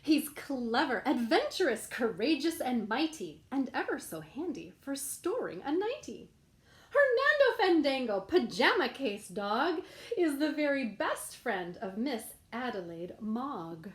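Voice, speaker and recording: A woman's voice: high-pitched, big pitch swings, measured pace. A woman reading a rhyming children's picture book aloud in a playful, sing-song storytelling manner.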